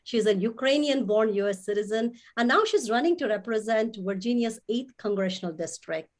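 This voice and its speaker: A woman's voice: a little high, a little fast. Woman hosting a formal online interview. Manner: formal, reads from prepared notes. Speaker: host. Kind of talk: scripted introduction and interview.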